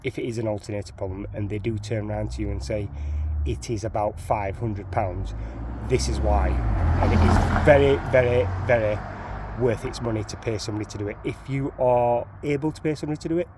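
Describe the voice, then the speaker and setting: A man's voice: on the low side, expressive, fairly quick, few pauses, noisy background. Chatty Sheffield man fixing his van. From Sheffield, England. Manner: chatty, rambling, repeats words. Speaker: DIY van owner. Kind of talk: casual how-to walkthrough.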